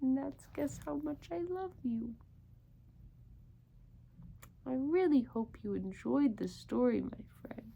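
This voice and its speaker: Female children's storyteller, unhurried and fairly high, crackly edge, wide-eyed wonder, chats to the kids. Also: lively intonation, creaky, mellow. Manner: gushing wonder, addresses listeners as children. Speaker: storyteller reading a picture book aloud. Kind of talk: children's read-aloud with asides to young listeners.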